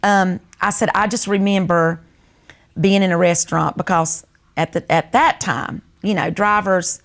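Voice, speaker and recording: Older female with a Southern American accent for relaxed, folksy family storytelling in conversation. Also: lowish voice, measured pace. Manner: folksy storytelling, acts out remembered family dialogue. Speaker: host. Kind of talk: casual reminiscing conversation.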